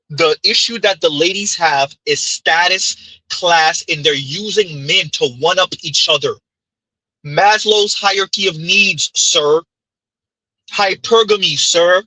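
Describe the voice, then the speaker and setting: Haitian-accented guy on a stream, high bright voice, husky, dry close sound. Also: dry recording, rough recording. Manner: emphatic, rhetorical "huh?" asides. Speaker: commentary streamer. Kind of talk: reaction stream commentary.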